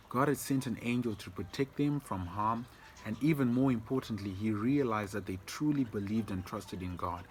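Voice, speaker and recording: A man's voice: mid-range voice, low-quality recording. A man telling a story to children informally as a church storyteller, explaining things and addressing them directly.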